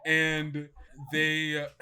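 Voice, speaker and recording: A man improvising narration as game master of a tabletop game, in a halting, amused way. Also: a little high, completely flat delivery, bright, forceful tone, clean tone.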